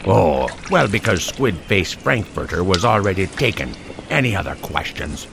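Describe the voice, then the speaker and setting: A pompous pirate captain bulldog with a deep, gravelly voice. The delivery swings theatrically up and down in old seafaring talk, with music playing underneath. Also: male voice, big pitch swings, moderate pace, background noise, heavily acted. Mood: commanding. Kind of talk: audio drama pirate acting.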